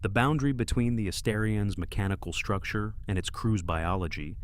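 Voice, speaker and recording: A man's voice: fairly low pitch, fairly quick, a little hiss or hum, lightly acted. A man playing an official archive narrator, reading scripted sci-fi narration in a formal, grave way. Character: solemn, official male archive narrator of space logs.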